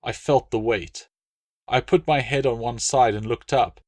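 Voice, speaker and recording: A man reading scripted first-person novel narration as an audiobook, in a measured, dramatic storytelling manner. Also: medium pitch, steady tone, darkish tone, no room sound.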